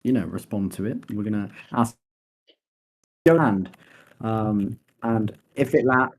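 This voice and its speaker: A young man hosting a mock launch webcast, joking and often pausing with fillers. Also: fairly low pitch, low-quality recording. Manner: joking, hesitant with many fillers. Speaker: webcast host.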